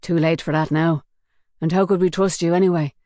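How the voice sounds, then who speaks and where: A woman's voice: on the low side, steady tone, fairly quick, warm tone, no room sound, studio quality, close to an everyday voice. A woman voicing a cocky, menacing male gang leader who mocks his captive. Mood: mocking. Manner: mocking, sneering.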